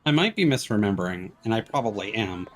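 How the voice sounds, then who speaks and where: A man's voice: on the low side, big pitch swings, fast. A man doing casual, rambling livestream commentary as he plays a game, with wry asides. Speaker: game streamer. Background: game music.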